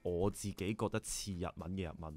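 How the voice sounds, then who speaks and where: A man with a Hong Kong Cantonese accent, a band bassist telling stories in a rambling, animated way in a radio interview. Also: brisk, faint music behind.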